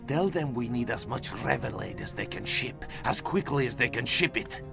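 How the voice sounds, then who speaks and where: An actor playing a cold, menacing Soviet commander in a video game, with a Russian accent and a deliberate, sinister, gravelly delivery. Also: male voice, medium pitch, animated, music in the background. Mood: cold, commanding. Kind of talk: video game villain acting.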